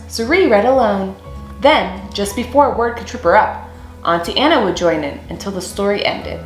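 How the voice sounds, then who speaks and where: A young woman reads a picture book aloud to children in a medium-pitched voice. Her phrasing is deliberate and expressive, in storyteller style. The room adds noticeable echo, and soft music plays underneath. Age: young adult. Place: small room indoors.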